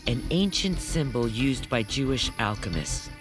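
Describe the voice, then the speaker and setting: Very deep-voiced stern woman mentor. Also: animated, over music. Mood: grave, instructive. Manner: exacting, instructive, deliberate. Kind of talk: audio drama acting.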